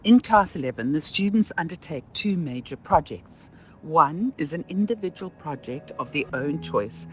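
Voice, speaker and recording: A man giving a scripted explanatory narration as a school presenter. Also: a little high.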